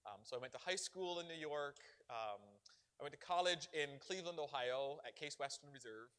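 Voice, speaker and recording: Man, bishop, middle-aged, animated, laughing, slightly high voice. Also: fairly quick, smooth. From Barbados. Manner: animated, laughs often, preacherly emphasis. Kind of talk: parish Q&A talk.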